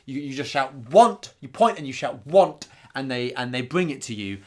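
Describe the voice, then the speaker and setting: A man's voice: mid-range voice, lively intonation, moderate pace, lightly acted. Man playing an earnest, rambling fan podcast co-host. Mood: enthused. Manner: rambling, over-explaining, earnest. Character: earnest, devoted male fan podcaster, eager explainer, indulgent sidekick. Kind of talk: mock fan podcast banter.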